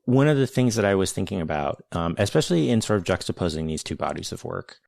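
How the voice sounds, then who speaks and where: A man with a very low voice hosts a conversation, choosing his words carefully and circling back to rephrase. The sound is dry and close, with no room around it. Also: big pitch swings, vocal fry, darkish tone, no room sound. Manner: measured, searching, many restarts and hedges. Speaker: podcast host, interviewer. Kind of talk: thoughtful conversational interview.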